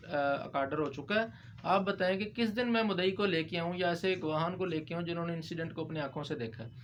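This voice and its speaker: High-pitched Pakistani man explaining law. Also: slight background noise. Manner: explanatory, mixes in English legal terms. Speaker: lawyer presenting a legal explainer. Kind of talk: informal legal explanation to viewers.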